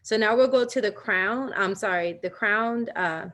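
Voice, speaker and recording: A woman's voice: mid-range voice, unhurried, fairly bright tone. A woman leading a live virtual wellness workshop from home over a video call, talking in a chatty, personal way and laughing often.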